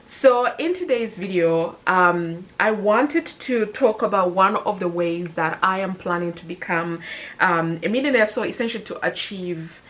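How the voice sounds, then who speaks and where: A woman's voice: lowish voice, animated, unhurried, fairly bright tone. A woman in her thirties talking casually to camera as a personal finance vlogger, chatty and upbeat, often correcting herself mid-sentence. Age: 30s.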